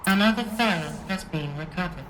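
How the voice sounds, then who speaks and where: An actress voicing the female computer voice of a data device in a game cutscene, announcing in a flat, synthetic way. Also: lowish voice, a little fast, a little hiss or hum, lightly acted, poor audio. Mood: neutral.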